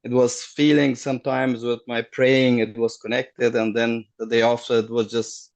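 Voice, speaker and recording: A middle-aged man, a guest on a video call, telling his story haltingly and searching for words. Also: medium pitch, level tone, creaky. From Afghanistan. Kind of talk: casual personal story.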